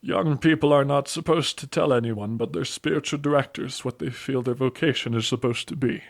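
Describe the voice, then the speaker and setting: A man as measured, mid-voiced priest. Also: mid-range voice, close to an everyday voice. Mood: earnest, instructive. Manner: measured, explanatory. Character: calm priest confessor narrating a saint's story. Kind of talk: audio drama acting and narration.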